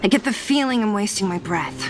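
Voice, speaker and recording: A woman's voice: mid-range voice, animated, a little fast, over music, lightly acted. A woman playing a stern, sensible young comrade in a video game, skeptical and wearily scolding. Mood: resigned.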